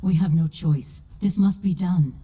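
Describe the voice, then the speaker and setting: A woman's voice: on the low side, measured pace, mellow, husky. An actress playing a grave, determined female mage in a fantasy game, speaking in a solemn, formal, commanding way. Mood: grave, urgent. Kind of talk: video game character acting.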